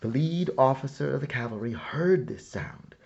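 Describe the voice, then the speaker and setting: A man reading a children's story aloud as scripted narration, with a measured, dramatic storyteller's delivery. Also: mid-range voice, expressive, gravelly, darkish tone, muffled recording. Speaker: storyteller reading a picture book.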